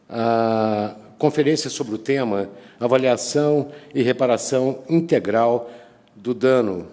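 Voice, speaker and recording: A man's voice: medium pitch, a little mellow. Ceremonious man introducing a speaker. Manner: ceremonious, hesitant, with pauses. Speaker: session chair. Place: conference auditorium. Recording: reverberant lecture hall.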